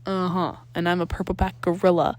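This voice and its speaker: A woman voicing a skeptical, wisecracking teenage boy in fan fiction, flat and disbelieving. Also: on the low side, brisk, no room sound, lightly acted. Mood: flat, firm. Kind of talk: acted fan fiction dialogue.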